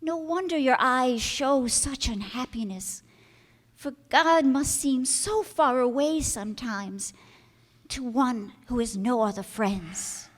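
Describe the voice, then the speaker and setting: An innocent young girl from an old-time radio drama, played by a woman. Her voice is high, and she speaks with earnest, trusting frankness and gentle chiding. A long hall reverb surrounds her. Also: slow pace. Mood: frank, pitying.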